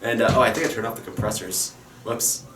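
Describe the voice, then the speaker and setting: A man's voice: lowish voice, steady tone, fast, distant mic, background noise. A man co-hosting a podcast with casual, playful banter, recorded off the mic in an echoey room. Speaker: podcast co-host.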